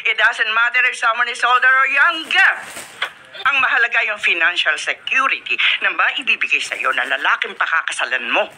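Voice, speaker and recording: Casting: bossy, money-minded Filipino matriarch for radio drama, brisk and insistent, very animated pitch, noisy recording. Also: female voice. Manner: brisk, insistent, code-switching into English. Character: domineering, money-minded older businesswoman mother.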